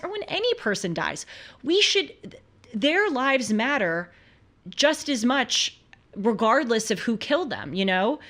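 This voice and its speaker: A woman's voice: medium pitch, fairly bright tone. Emphatic American woman, political commentary host. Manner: earnest, emphatic, with rhetorical questions.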